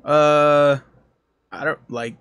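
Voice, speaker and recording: A young man chatting on a podcast with friends, hesitant and prone to trailing off mid-thought. His voice sits a touch higher than average. The recording is close and dry, with no room sound. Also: steady tone, takes it slowly, a bit bright, smooth, dry recording. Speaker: podcast co-host. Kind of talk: casual chat.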